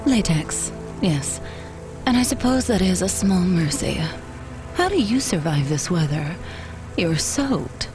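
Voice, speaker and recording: Bossy self-assured dominatrix in a game, kinda low, formal clipped talk, bit of music behind. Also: female voice, big pitch swings, slow. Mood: brisk, proud. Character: brisk, self-assured female dominatrix, proud and impatient. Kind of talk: video game voice acting.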